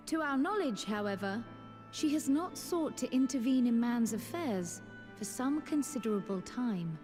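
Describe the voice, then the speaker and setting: A woman playing an earnest, courteous young noble scholar in a fantasy game, with formal, eloquent, deliberate phrasing. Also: fairly high pitch, lively intonation, darkish tone, lightly acted. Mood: thoughtful. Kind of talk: scripted fantasy game dialogue. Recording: long reverb, faint music.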